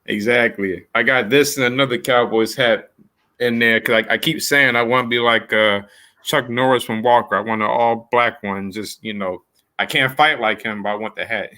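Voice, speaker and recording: A man's voice: mid-range voice, steady tone, normal speed, sharp tone. A man hosting a podcast in casual interview chat, speaking in an informal, folksy and appreciative way. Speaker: podcast host.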